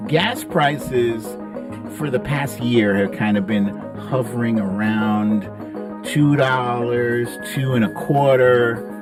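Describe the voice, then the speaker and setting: A man's voice: mid-range voice, slow, music in the background. A middle-aged man hosting a casual livestream, chatting with viewers in colloquial, salty phrasing on a close broadcast mic. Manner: animated, colloquial, salty street-talk phrasing. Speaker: livestream host. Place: home studio room, indoors. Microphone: close broadcast mic on boom arm.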